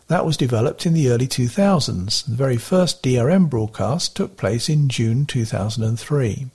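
A man's voice: medium pitch, a little mellow, slightly rough. A man reading a scripted report as a radio correspondent. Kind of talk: scripted narration.

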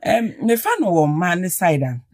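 Woman, radio guest, lowish voice, explaining, dry studio. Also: lively intonation, normal speed, darkish tone, no echo. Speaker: talk show guest. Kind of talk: casual radio chat.

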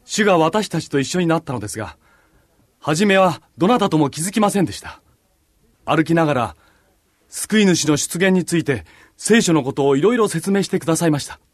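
A man's voice: a little high, expressive, fairly quick, no room sound. A man playing a reflective, devout disciple on a journey in a radio drama, speaking earnestly. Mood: reverent recounting. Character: reflective, devout male disciple, Cleopas's travelling companion.

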